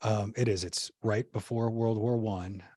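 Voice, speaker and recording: A man's voice: on the low side, fairly even intonation, a little slow, dark tone, no room sound, studio quality. A man, a podcast guest, telling history in a casual, rambling way full of fillers and restarts. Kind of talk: casual conversational storytelling.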